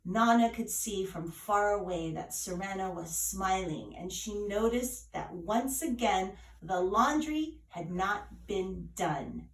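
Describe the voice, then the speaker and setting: A woman reading a legend as scripted storytelling, with measured, dramatic phrasing. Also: mid-range voice, animated. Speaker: author reading her retold legend. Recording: roomy echo, distant from mic.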